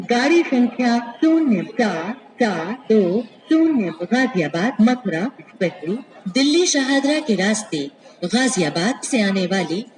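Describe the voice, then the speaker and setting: A woman making formal, scripted railway announcements in measured, pieced-together phrases over an echoey station loudspeaker. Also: fairly high pitch, a little hiss or hum. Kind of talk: scripted, pre-recorded train announcements. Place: played over public address at a railway station.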